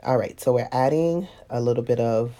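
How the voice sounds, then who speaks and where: Woman, stuffy-nosed painting teacher, mellow tone, easygoing and chatty with viewers. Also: a bass voice, normal speed. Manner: chatty, laughs often, talks to viewers. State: stuffy nose, sniffly. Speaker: watercolor painting instructor. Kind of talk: casual live tutorial talk.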